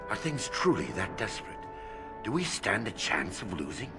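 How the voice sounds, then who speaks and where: An actor playing a dour, sardonic dwarven warrior noble in a fantasy game. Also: male voice, on the low side, sing-song, moderate pace, music in the background. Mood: uneasy.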